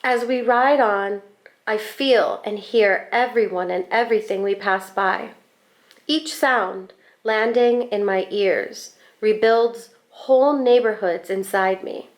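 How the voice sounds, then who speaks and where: Female storybook reader, mid-range pitch, unhurried pace, expressive delivery for a young audience. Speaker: school staff storybook reader. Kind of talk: expressive children's picture book read-aloud.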